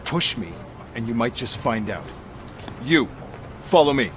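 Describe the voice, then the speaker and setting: A man plays a menacing game boss, curt and commanding. His mid-pitched voice is slow and sneering, with clear street noise behind it. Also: expressive, lightly acted. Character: gruff, hostile male authority figure, menacing and impatient. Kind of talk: video game cutscene acting. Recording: clear urban background noise.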